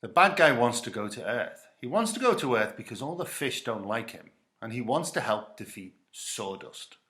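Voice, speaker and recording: A man's voice: medium pitch, sing-song, normal speed, a bit bright. A man reading a children's story aloud in a dramatic storytelling style. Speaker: story reader.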